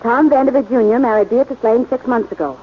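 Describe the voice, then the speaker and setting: A woman playing the hero's brisk, matter-of-fact girlfriend over a telephone line in 1940s radio acting. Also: fairly high pitch, slight background noise. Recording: tinny phone line on old radio broadcast.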